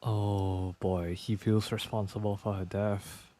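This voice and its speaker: A woman's voice: a bass voice, little pitch movement, slow pace, warm tone. A woman streaming a game, giving casual, murmured reaction commentary.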